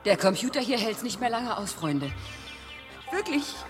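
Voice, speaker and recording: An affectionate traveller in space. Her voice is high and swings expressively. It is a comic radio play with clear music underneath. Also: sing-song, normal speed. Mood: urgent farewell. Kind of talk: comic science-fiction radio drama acting.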